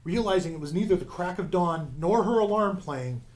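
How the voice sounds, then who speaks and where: A man narrating a radio drama in a suspenseful storytelling style, with deliberate dramatic pauses. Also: sits high, lively intonation, heavy background noise.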